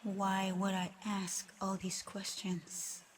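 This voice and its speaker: Woman voicing a slow, haughty, reclusive dragoness. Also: mid-range voice, completely flat delivery, slight background noise, close to an everyday voice. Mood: curious. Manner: haughty, deliberate, mocking. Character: proud, reclusive female dragon, menacing yet curious. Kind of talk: ASMR roleplay acting.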